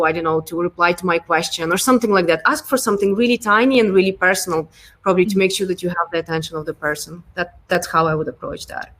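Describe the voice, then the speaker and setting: A woman's voice: on the low side, a bit bright. A woman in her thirties from Ukraine with a Ukrainian accent, answering interview questions casually with many ums and restarts. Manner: hesitant, many fillers and restarts. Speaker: guest, product design lead.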